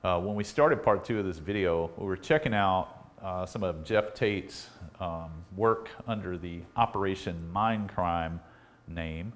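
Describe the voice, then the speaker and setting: A man hosting a repair video, talking in a casual, unhurried, conversational way as he demonstrates equipment. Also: deep voice. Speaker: host of electronics repair videos. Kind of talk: casual product demonstration talk.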